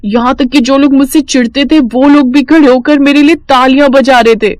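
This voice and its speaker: An excitable college girl from a cartoon moral story, acted out by a woman narrator. She is eager and gushing with gratitude. The sound is dry and close. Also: high-pitched, normal speed, no echo, voice pushed up, natural voice. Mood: excited, grateful. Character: excitable, grateful young college girl, sister-in-law. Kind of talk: animated storybook cartoon dialogue.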